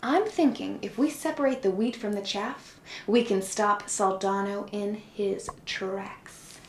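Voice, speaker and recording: A woman's voice: mid-range voice, takes it slowly. Woman playing detective's assistant, echoey and noisy. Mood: earnest. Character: helpful female detective's assistant, eager and level-headed. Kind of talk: comedic audio drama acting. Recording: echoey room, clear background noise.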